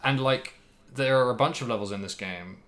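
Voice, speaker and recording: A man's voice: medium pitch, brisk, fairly bright tone. A man hosting a podcast, casually discussing video games in a rambling way, hedging and thinking aloud as he goes. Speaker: podcast host.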